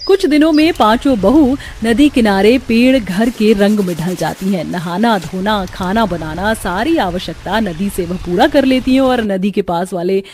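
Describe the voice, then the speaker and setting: A woman's voice: medium pitch, normal speed, few pauses, darkish tone, a little hiss or hum. A woman narrating a scripted cartoon story as its storyteller.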